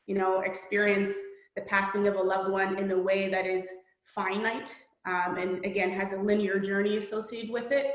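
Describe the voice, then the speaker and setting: A middle-aged woman author from Poland speaks in an earnest, reflective, measured way, her voice fairly flat in pitch. The recording has a noticeably echoey room sound. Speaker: children's book author. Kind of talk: reading aloud, then interview answers.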